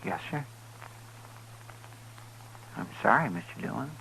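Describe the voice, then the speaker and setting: Slow, gravelly, humble western sidekick. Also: male voice, medium pitch, very animated, sharp tone, full character voice, rough recording. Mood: meek, subdued. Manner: polite, folksy, halting. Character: humble, deferential sidekick to a frontier marshal. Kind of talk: 1950s radio western acting. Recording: 1950s radio recording with faint noise.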